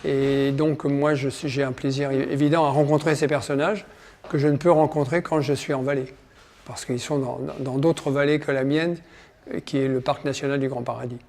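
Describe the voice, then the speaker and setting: An older man giving spontaneous interview remarks in a halting way, repeating words as he searches for them. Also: medium pitch, fairly even intonation, a little mellow. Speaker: guest at an evening event.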